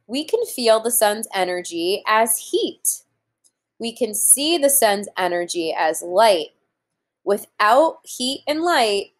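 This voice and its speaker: A woman in her thirties reading aloud to young children as a teacher, pausing to explain things simply and deliberately. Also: mid-range voice. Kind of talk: children's read-aloud lesson with explanatory asides. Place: room at home, indoors.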